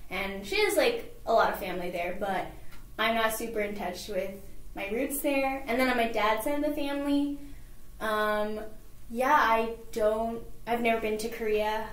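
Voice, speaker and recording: A woman's voice: fairly high pitch, fairly even intonation, moderate pace, reverberant. A young woman from California, a guest on an interview show, talking casually and filling her answers with many "like"s and fillers.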